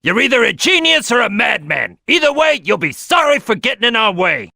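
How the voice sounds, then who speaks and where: An actor playing a big, brash crocodile detective in a video game, a gruff, gravelly team leader who is loud and bossy. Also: male voice, very high voice, sing-song, brisk, dry recording, clean studio sound, exaggerated voice. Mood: fired up. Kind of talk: video game character acting.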